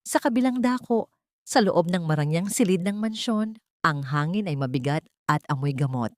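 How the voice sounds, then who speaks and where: Low-voiced woman narrating melodrama. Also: expressive, normal speed, no echo, studio quality. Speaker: audio drama narrator. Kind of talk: dramatic scripted storytelling.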